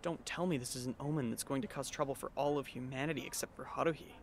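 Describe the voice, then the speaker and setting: A man's voice: medium pitch, steady tone, fast talker, slight background noise, lightly acted. A man playing a sardonic, bewildered teenage schoolboy who narrates an anime fandub with dry incredulity. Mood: ominous, dismayed. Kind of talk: anime fandub, inner monologue and dialogue.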